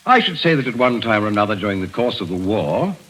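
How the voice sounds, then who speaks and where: A cool courtroom interrogator in old-time radio, firing clipped formal questions. His voice is low and husky but rises and falls widely. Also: sing-song, fast, fairly bright tone, low-quality recording. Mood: probing. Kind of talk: 1940s radio acting.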